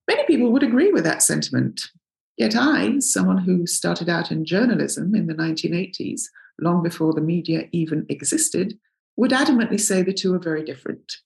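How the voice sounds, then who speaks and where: An older woman hosting a podcast, reading a measured, scripted introduction with lively intonation. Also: lowish voice, creaky. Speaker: podcast host.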